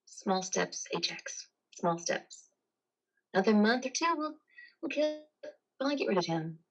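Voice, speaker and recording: Woman playing a friendly psychiatrist, medium pitch. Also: expressive, unhurried. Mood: friendly, reassuring. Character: friendly, encouraging female psychiatrist. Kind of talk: play reading acting.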